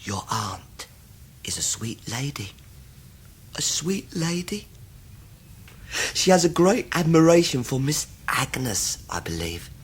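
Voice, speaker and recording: An actor playing an obsequious, scheming young law clerk in a radio drama, fawning and full of false humility. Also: male voice, medium pitch, big pitch swings, takes it slowly, pauses often, slight background noise. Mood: insinuating. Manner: fawning, self-abasing, oily.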